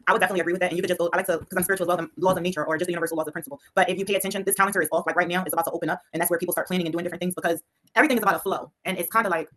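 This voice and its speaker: Fast-talking woman sharing spiritual views. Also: fairly low pitch, steady tone, a bit bright. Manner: rambling, earnest, spiritual. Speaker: workshop participant.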